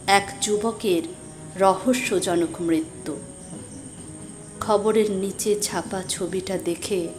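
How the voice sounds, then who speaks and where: A woman's voice: mid-range voice, close to an everyday voice. A woman playing an anxious young woman who recounts an eerie night in an emotive, suspenseful audio drama. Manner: emotive, suspenseful, drawn-out delivery. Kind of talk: dramatic scripted first-person story narration. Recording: music behind the voice.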